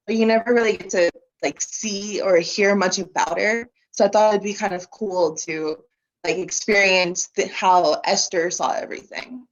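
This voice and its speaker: Woman student, mid-pitch, hesitant and filler-heavy, dull lo-fi sound.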